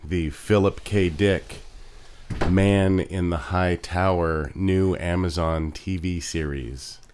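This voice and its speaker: Low-voiced, laconic man chatting. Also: steady tone, takes it slowly, darkish tone, slight background noise. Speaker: podcast co-host. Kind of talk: casual chat.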